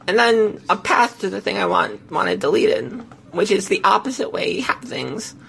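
A woman podcast host with a hoarse, failing voice tells a tech story casually, in a halting, self-correcting way. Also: medium pitch, very animated, fairly bright tone, poor audio. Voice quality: hoarse, gravelly. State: hoarse, losing voice.

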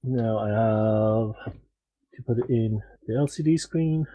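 Husky-voiced man, dry matter-of-fact humour. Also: on the low side, slow, no echo, narrow, tinny audio. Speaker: podcast chat participant. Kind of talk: casual chat.

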